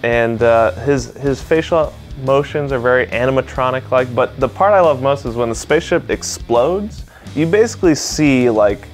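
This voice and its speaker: A man with a medium-pitched voice comments in a loose, chatty style. Faint music runs underneath his conversational delivery. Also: normal speed, hardly any pauses. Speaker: video game commentator.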